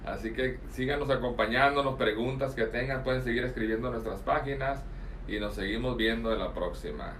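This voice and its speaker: A man's voice: mid-range voice, quick pace, fairly bright tone, a little hiss or hum. A man co-hosting a casual interview podcast, playful and joking. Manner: playful, mixes in Spanish. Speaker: podcast co-host. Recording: distant, echoey room mic.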